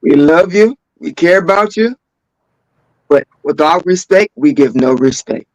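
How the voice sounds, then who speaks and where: A man's voice: a little high, lively intonation, a little slow, no echo, low-quality recording. A man co-hosting a casual panel chat, chiming in agreeably with short, repeated phrases.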